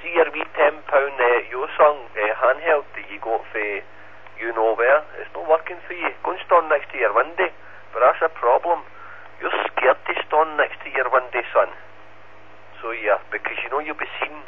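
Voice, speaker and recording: A man's voice: medium pitch, normal speed, breathy edge. A man with a central Scottish accent bantering on two-way amateur radio, sweary and slangy, taunting the others. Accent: Scottish, central Scotland. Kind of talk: casual ham radio banter. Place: received over an FM radio repeater. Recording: phone-like narrowband radio, faint noise.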